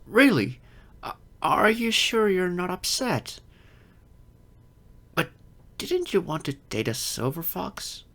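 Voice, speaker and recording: A man's voice: sits high, big pitch swings, takes it slowly, pauses often, sharp tone, full character voice. A man playing an insecure, geeky adult silver fox in an audio roleplay, hesitant, stammering and self-deprecating. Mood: relieved, sheepish. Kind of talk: ASMR-style audio roleplay acting.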